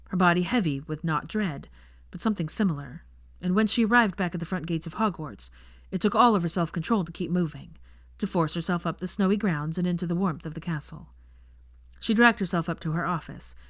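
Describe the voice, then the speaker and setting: A woman's voice: fairly low pitch, no room sound, studio quality. A woman narrating a fan fiction audiobook, reading scripted prose as a storyteller.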